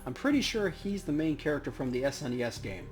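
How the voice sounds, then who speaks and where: A man's voice: fairly high pitch, big pitch swings, fast talker. A man hosting a let's play, giving casual, playful commentary on the game. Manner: joking, playful. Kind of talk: casual gameplay commentary and read-aloud. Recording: game music under the voice.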